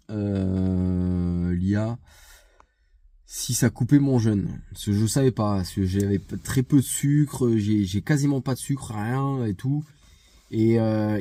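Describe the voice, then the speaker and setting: A man's voice: on the low side, dark tone, no room sound. A man with a head cold, stuffy nose and sore throat, chatting casually to camera for a vlog, hesitant with many fillers and restarts. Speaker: vlogger. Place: outside a gym.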